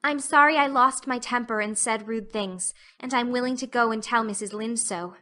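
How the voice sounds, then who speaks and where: A woman acts out an imaginative little girl in an audiobook drama. The voice is clear-edged, bright and somewhat high. She is earnest and theatrical, with a plaintive, solemn turn to her wordy speech. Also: moderate pace.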